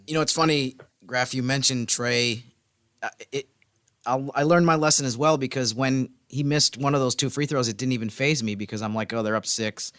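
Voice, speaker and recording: A man's voice: mid-range voice, fairly even intonation, normal speed, fairly bright tone, no echo, studio quality. A man co-hosting a sports podcast in casual conversation. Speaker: podcast co-host.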